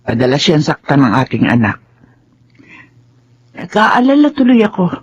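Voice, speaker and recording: An actress playing a worried grandmother who confides gravely in a radio drama. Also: low voice, very animated, normal speed, darkish tone, lo-fi sound, slight background noise. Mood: troubled. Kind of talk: Filipino radio drama acting.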